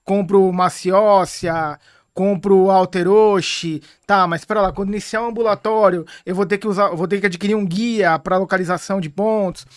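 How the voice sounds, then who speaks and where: A man's voice: sits high, fairly even intonation, normal speed, smooth, no room sound, clean studio sound. A man, a guest on a casual podcast, speaking thoughtfully and often restarting his sentences.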